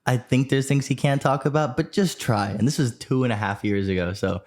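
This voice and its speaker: A man hosting a podcast interview, talking in a relaxed, casual way. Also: medium pitch, a little fast. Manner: relaxed, brief affirmations. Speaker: podcast host.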